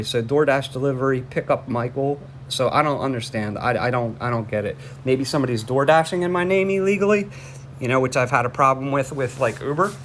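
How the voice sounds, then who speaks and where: A man phoning in a complaint, explaining it in a halting, repetitive and insistent way. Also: mid-range voice, fairly bright tone. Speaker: caller reporting a suspicious order to police. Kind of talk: phone conversation, explaining a complaint. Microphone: phone. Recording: noisy phone call recording.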